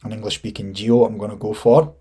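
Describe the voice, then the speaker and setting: A Scottish man in his thirties giving a casual screen-share tutorial, thinking aloud informally with a slightly husky voice. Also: fairly low pitch, steady tone, brisk, dark tone. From Scotland. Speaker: online marketing instructor.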